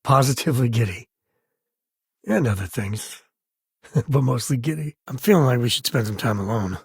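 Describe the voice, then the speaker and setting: An actor playing a wry, warm-hearted middle-aged spacefaring cowboy and ex-ranger in a video game, with a husky voice and a folksy, conversational delivery. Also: male voice, medium pitch, lively intonation, normal speed, dry recording, clean studio sound, full character voice. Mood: wry, teasing. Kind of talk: naturalistic video game character dialogue.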